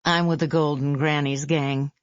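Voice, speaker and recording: A kindly elderly grandmother, warm and reassuring, in a lowish voice, as a woman narrator puts her on. The read is dry and slightly muffled. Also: steady tone, normal speed, no echo, lightly acted. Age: older.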